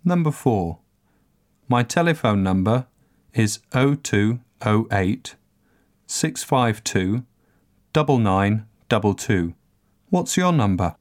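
A man narrating a scripted language course recording, enunciating each word carefully and deliberately. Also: lowish voice, lively intonation. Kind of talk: scripted language-lesson reading.

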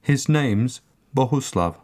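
A man narrating a scripted language course recording, enunciating each word carefully and deliberately. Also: mid-range voice, expressive, darkish tone. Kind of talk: scripted language-lesson reading.

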